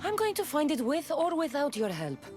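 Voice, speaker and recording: An actress voicing a bold, wry mercenary warrior in a video game, confident and teasing. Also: female voice, high-pitched, wildly swooping pitch, normal speed, a little mellow, faint music behind. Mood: determined.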